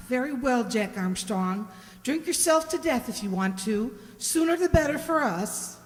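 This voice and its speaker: A woman's voice: fairly high pitch, animated, a little slow. A woman playing a disapproving older tavern mother in a radio drama. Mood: fed up, stern. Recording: reverberant hall.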